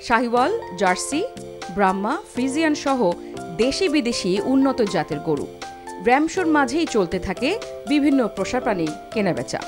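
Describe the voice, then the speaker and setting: A woman's voice: a little high, animated, fairly quick. A woman with a Bangladeshi accent reading a scripted news feature voice-over as a reporter. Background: loud music.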